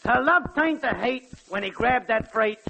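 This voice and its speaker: A shady hobo go-between with a high, gravelly voice. He is blunt and deadpan, in 1940s radio comedy style, on a clearly noisy old broadcast. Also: measured pace, heavily acted. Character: shady male tramp's errand man peddling bad poems.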